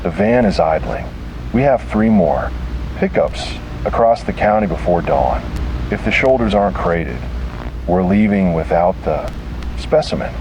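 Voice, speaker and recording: A man voicing a cultured, commanding older villain in a horror story, smooth, cold and impatient. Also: lowish voice, sing-song, a little slow, background noise, full character voice. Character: refined, cold, impatient older villain collecting human specimens.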